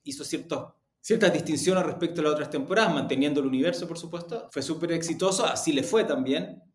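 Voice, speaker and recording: A man's voice: a little high, fast talker. A man with a Chilean accent answering interview questions reflectively, often rephrasing and qualifying what he says. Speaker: interview guest, podcast production executive. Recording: roomy, echoey sound.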